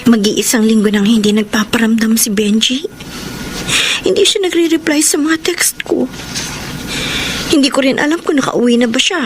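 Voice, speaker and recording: An actress playing a gentle, uncertain young woman in love in a Filipino radio drama, anxious and soft-hearted. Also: a little high, normal speed, music in the background, natural voice. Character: worried young pregnant sweetheart, gentle, uncertain.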